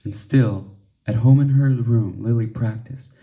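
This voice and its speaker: A man reading a children's story aloud expressively, with measured pauses and emphasis for drama. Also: medium pitch, dull audio. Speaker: storybook reader.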